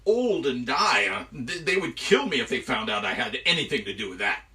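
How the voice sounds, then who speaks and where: A man telling a myth aloud, voicing an excitable, protesting trickster god. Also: mid-range voice, fairly quick, recorded from a distance. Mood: indignant. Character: mischievous male trickster god, panicky, indignant, grudging. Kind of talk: live oral myth storytelling.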